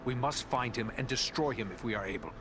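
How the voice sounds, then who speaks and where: An actor playing a resolute human ranger in a fantasy video game, speaking in a formal, earnest way. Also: male voice, mid-range voice, quick pace, background noise, lightly acted. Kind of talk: video game cutscene acting.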